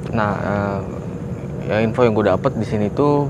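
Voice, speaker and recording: Dude hosting a ghost vlog at night, lowish voice, lots of uh, noisy street sound behind. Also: male voice, moderate pace. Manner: relaxed, agreeable, lots of fillers. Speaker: co-host of a ghost-hunting channel. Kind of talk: casual chat on location. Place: abandoned factory grounds at night, outdoors.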